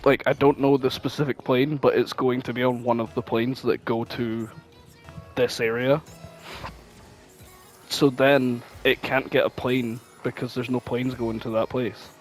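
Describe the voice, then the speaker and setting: Man, mid-pitched voice, casual podcast chat, rambling, sweary, animated, music in the background. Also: a little slow. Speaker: podcast co-host.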